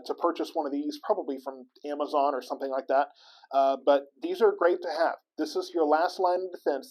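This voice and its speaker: Male segment host, American, voice a little on the high side; informal explainer read, folksy and halting. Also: darkish tone, rough recording. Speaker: host of weather tips segment. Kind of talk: casual explainer to camera.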